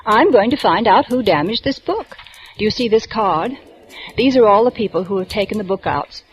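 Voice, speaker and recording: An actress playing a prim, proper young librarian in a 1940s radio drama, speaking with precise, slightly indignant diction. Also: female voice, medium pitch, lively intonation, normal speed, close to an everyday voice, low-quality recording. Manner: primly precise, a little indignant.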